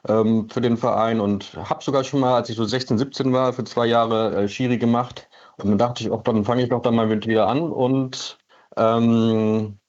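A man's voice: medium pitch, steady tone, normal speed, a little mellow. A middle-aged man from northern Germany chatting casually as a caller over the telephone, hesitant and thoughtful. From northern Germany, near Hanover. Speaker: caller, amateur football referee. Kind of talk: casual chat. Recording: muffled band-limited telephone line.

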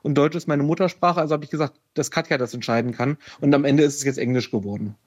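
A man's voice: a little high, fast talker, a little mellow. A man telling a personal story as an interview guest, hesitant, with many fillers. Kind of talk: casual storytelling. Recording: dull lo-fi sound.

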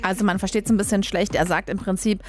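A woman's voice: medium pitch, fairly even intonation, fast. A woman from Leipzig, Germany, hosting a radio music show in a casual, chatty, colloquial way. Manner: chatty, colloquial, trailing tag questions. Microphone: close studio radio microphone.

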